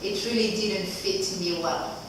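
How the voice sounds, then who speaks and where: A woman's voice: mid-range voice, fairly even intonation, measured pace. French-accented woman in an echoey room. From Switzerland. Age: 40s. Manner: chatty and loose, many "like" fillers. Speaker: workshop facilitator. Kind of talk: casual group talk. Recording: echoey room, distant mic, background noise.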